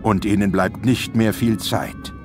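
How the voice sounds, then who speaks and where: A man narrates an audio drama in a low voice. His scripted narration runs over clearly audible music. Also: steady tone, a little slow.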